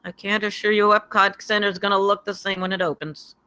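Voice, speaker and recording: Serious demanding executive, played by a man, high register, measured, cautioning, for a script table read. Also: level tone, pushed and bright, smooth. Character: serious, demanding male executive, guardedly supportive.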